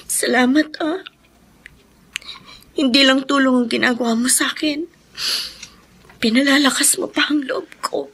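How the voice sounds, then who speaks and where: A woman's voice: sits high, steady tone, measured pace, long pauses, fairly bright tone, heavily acted. A woman playing an anguished, caring young elder sister in a Filipino radio drama, pleading and sighing. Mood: sorrowful, hesitant. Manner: tearful, pleading, sighing, breathy whispers in distress.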